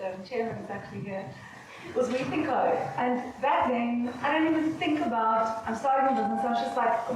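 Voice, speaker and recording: A woman speaking frankly as a founder on a conference panel, with a loud voice. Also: fairly high pitch, background noise. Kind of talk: candid panel answer. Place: conference venue. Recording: echoey event room.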